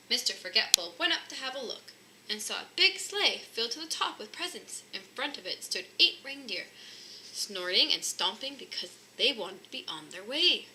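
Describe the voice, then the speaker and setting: Female storybook reader, very bright and lively, slightly high, faint noise behind. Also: low-quality recording. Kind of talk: expressive children's story narration.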